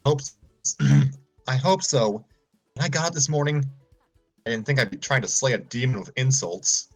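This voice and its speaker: A man's voice: medium pitch, sing-song, moderate pace, faint music behind. A man voicing a worried, earnest female cartoon pony leader in a fretful manner.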